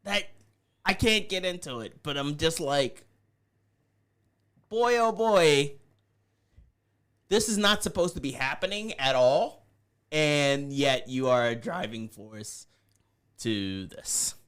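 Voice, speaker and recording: A man's voice: a little high, animated, slow, long pauses, fairly bright tone, smooth. A man co-hosting a podcast in casual banter, teasing and sarcastic. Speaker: podcast co-host.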